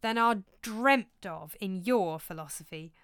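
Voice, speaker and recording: A woman's voice: medium pitch, unhurried, fairly bright tone, clean tone. A woman voicing a distraught, vengeful young prince in a storybook reading, urgent and emphatic. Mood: insistent.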